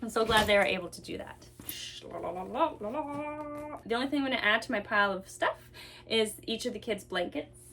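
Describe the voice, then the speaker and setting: A woman's voice: fairly high pitch, lively intonation, normal speed, fairly bright tone. A young woman chats casually in a family vlog, thinking aloud as she goes about a task at home. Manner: informal, thinking aloud while busy. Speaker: vlogger and mother of two young children. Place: indoors at home. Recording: clearly noisy home recording with clicks.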